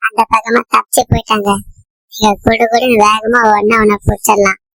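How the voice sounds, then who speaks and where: Woman voicing a cheeky high-pitched cartoon little boy. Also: flat delivery, brisk, fairly bright tone, no echo, poor audio. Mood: pleading. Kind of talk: cartoon comedy voice acting. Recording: music behind the voice.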